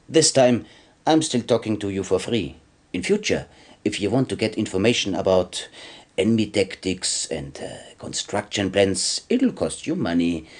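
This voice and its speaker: A sly, low-voiced arms dealer. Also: male voice. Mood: suave, businesslike. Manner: smug, chuckling salesman patter. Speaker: game character actor. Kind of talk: video game cutscene acting.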